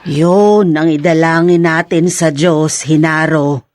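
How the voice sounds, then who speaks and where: A woman's voice: low voice, fairly even intonation, slow, a little mellow, dry recording. A Filipino woman playing a kindly, devout woman sharing family news in an audio drama. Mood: warm, hopeful.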